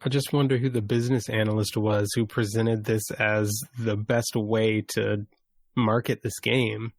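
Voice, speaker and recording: A man's voice: lowish voice, level tone, unhurried, no room sound, studio quality. A man co-hosting a gaming podcast, chatting casually in an understated, matter-of-fact way. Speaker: podcast co-host.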